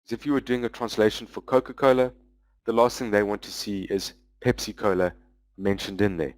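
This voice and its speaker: Male presenter, low register, dry close mic, loose explanatory delivery over slides. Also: flat delivery, dry recording. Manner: explanatory, walking through product features; loose, with frequent "um" pauses. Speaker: business development manager presenting a webinar.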